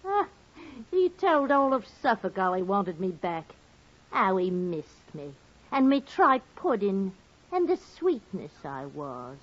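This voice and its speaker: Slow-talking, gossipy rustic wife. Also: female voice, mid-range voice, very animated. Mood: proud, indignant. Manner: folksy, dramatic, gossipy. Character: talkative rustic English wife, wronged, sweetly indignant. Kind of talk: 1950s radio drama acting. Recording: muffled old radio recording.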